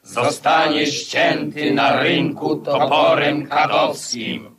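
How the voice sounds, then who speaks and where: An actor playing a solemn official who declaims deliberately, as for a grave proclamation in a radio play. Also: male voice, on the high side, a loud crowd. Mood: solemn, stern. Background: prominent music.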